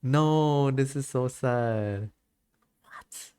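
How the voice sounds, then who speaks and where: A woman casually streaming a game, reading dialogue aloud and reacting in an expressive, giggly way. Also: a bass voice, extremely slow, clear, resonant tone, dry recording.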